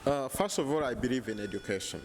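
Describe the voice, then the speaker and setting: A man's voice: medium pitch, expressive, noisy background. Ugandan man, 30s, earnest interview guest, mid-range voice, handheld mic indoors. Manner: earnest, measured, frequent restarts. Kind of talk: casual interview. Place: bright hotel lounge indoors. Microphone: handheld lapel mic.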